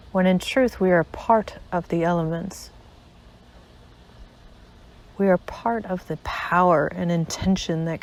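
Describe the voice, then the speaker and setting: A woman narrating a spiritual guided meditation in a measured, deliberate way, pausing between short phrases. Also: fairly low pitch, a little mellow, poor audio.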